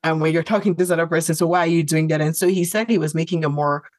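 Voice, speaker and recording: A woman's voice: deep voice, steady tone, very fast, a little mellow, dry recording, studio quality. A woman, a podcast co-host whose first language is not English, chatting casually and thoughtfully with many fillers and restarts. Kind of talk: casual chat.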